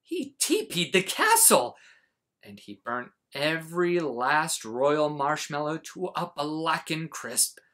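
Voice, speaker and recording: Very animated man reading a storybook. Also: fairly high pitch. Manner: dramatic, exaggerated emphasis with pauses. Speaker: children's storyteller. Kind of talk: animated storybook read-aloud.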